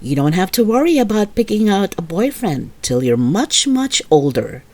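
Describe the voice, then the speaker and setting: A woman voicing a patient, gently firm mother in a children's storybook, soothing and reasoning. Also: medium pitch, sing-song, normal speed, voice pushed down. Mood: amused, soothing.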